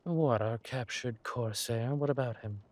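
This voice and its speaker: A man playing a suave, ruthless villain lord in an audio drama, mock-polite and menacing, with a husky voice and drawn-out delivery. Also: medium pitch, a little mellow, close to an everyday voice. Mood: coolly ruthless.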